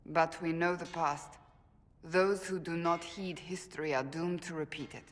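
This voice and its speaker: A woman playing a stern, principled warrior in a fantasy game, speaking gravely and formally, shaken by betrayal. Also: lowish voice, steady tone, in a large hall, slight background noise, close to an everyday voice. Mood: troubled. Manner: grave, formal, deliberate phrasing. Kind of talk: dramatic fantasy game acting.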